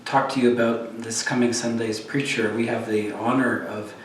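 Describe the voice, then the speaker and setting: A male priest makes a short announcement to his congregation, hesitating and filling pauses as he goes. His voice is low and very flat, and it rings from a distance in a strongly echoing room. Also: slight background noise. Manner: hesitant, with many fillers. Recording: echoey room, voice from off-mic.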